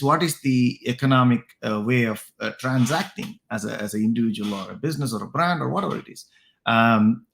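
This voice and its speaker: A man's voice: mid-range voice, vocal fry. A man co-hosting a casual, analytical discussion, thinking aloud with hesitations and often ending his points with a questioning tag. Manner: hesitant, thinking aloud, frequent "right?" tags. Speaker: co-host of a tech discussion show.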